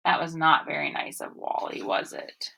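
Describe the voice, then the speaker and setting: Bright, expressive woman teaching young children. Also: low-pitched, moderate pace, vocal fry, slightly rough. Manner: expressive, sing-song, addressing children with questions. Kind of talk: read-aloud story and phonics lesson for children.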